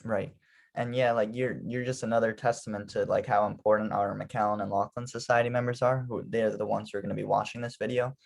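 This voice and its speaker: Young male interviewer, low gravelly voice, friendly, hesitant with fillers. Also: flat delivery, a little fast. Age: young adult. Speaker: interviewer, graduate assistant. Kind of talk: casual interview.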